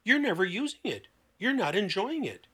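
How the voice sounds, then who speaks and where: Man, bright forceful voice, therapist on podcast, blunt, emphatic, rhetorical questions, deliberate pauses. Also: high voice, big pitch swings, slightly rough. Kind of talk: conversational podcast talk with acted-out client dialogue.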